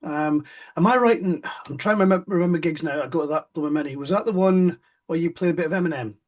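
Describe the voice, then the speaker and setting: A man's voice: on the high side, fast. A man hosting a casual interview, friendly and rambling, with plenty of fillers. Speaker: podcast host.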